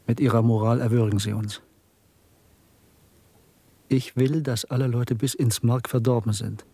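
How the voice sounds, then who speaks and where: A man acting in a German radio drama, playing a brooding, bitter party clerk who is secretly a rebel, speaking in an intense, deliberate way. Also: medium pitch, steady tone, mellow, slightly rough, dry recording. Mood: fervent, bitter.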